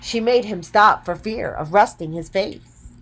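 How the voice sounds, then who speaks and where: A woman's voice: mid-range voice, a little slow, a bit bright, rough recording. A woman storyteller narrating a children's storybook expressively.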